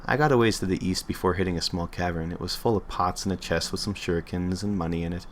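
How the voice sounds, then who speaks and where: Very deep-voiced man reading a story. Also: quick pace, a little hiss or hum. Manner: offhand, stumbles and corrects himself mid-read. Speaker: streamer reading a creepypasta. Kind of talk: casual reading aloud on a livestream.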